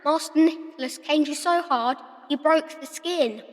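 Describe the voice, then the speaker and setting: A woman's voice: sits high, measured pace. A woman playing a caring young workhouse friend in a radio drama. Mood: concerned. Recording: long hall reverb.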